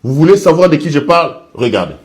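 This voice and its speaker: A man's voice: fairly high pitch, sing-song, quick pace. A man giving dramatic commentary, theatrical and repeating lines for effect.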